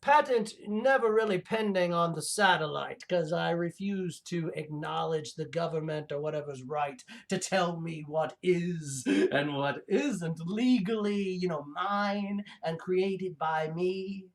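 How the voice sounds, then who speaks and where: A performer voicing a deluded mad-scientist villain who calls himself a genius, rambling comically and haltingly as a show host, prone to cackles. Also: medium pitch, close to an everyday voice. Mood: indignant, vengeful. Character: gleefully deluded mad-scientist villain, self-proclaimed genius bent on revenge. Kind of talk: rambling comic in-character monologue.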